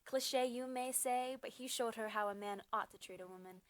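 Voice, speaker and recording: A woman reciting slam poetry as a spoken-word poet, with a dramatic, emphatic delivery in short measured phrases. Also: a little high, no echo.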